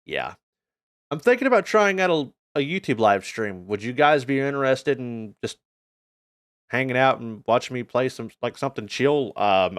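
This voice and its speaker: A man's voice: mid-range voice, a bit bright, dry recording, clean studio sound. A North American man giving a casual channel update as a gaming YouTuber, talking informally and earnestly in a rambling way.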